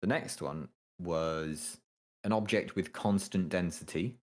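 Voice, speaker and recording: A young man with an extremely deep, low voice talks through physics equations. His casual delivery is halting and full of ums, with the odd swear word. He sounds offhand about the material. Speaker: co-host explaining astrophysics. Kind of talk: casual study chat.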